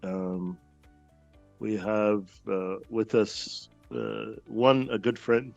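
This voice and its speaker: Dull-sounding low-voiced male host. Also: drawn-out words, vocal fry, no room sound, faint music behind. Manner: friendly, rambling, lots of "um" and "uh". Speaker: interview host. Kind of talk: casual interview introduction.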